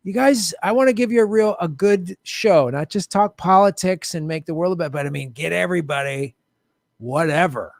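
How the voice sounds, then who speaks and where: A man hosts a live show in a casual, joking manner, poking fun at himself with playful bravado. His voice sits a little high for a man. The sound is dry and close, with no room echo. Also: normal speed, vocal fry. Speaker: host of a live political show. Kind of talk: casual livestream chat. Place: home studio with bright lights.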